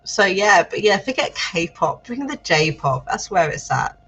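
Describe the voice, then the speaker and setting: A woman hosting a writing livestream, chatting in a lively, self-deprecating way with her co-host. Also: medium pitch, measured pace, breathy edge. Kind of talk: casual chat on a writing livestream.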